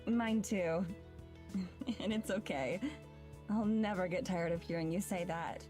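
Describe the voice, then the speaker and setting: Sincere young lady in love, soft n hesitant, visual novel style, music behind her. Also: fairly low pitch, slow pace. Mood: affectionate, gentle. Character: tender, earnest young woman in love.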